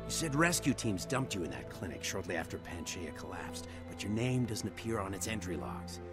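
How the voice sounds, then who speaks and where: An actor playing a calm older male hacker mentor who speaks in a measured, fatherly way in a video game cutscene. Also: low voice, loud music behind the voice. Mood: grave. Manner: measured, fatherly, calls him son.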